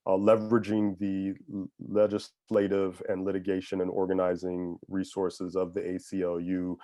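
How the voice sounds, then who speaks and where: Deep flat voiced guy on a panel, dry sound, measured. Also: dry recording. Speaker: civil rights advocate, panelist. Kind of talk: online panel discussion.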